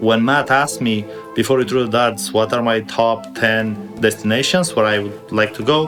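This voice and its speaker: A man's voice: fairly low pitch, fairly quick, fairly bright tone, soft background music. A man from Romania hosting a travel vlog, moving between scripted narration and talk to the viewers. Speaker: travel vlogger and host.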